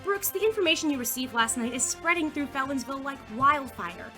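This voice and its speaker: A woman playing a bossy high school girl anchoring a student news show in an audio drama, with a brisk, emphatic announcer delivery. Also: expressive, over music. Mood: peppy, businesslike. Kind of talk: mock news broadcast acting in audio drama.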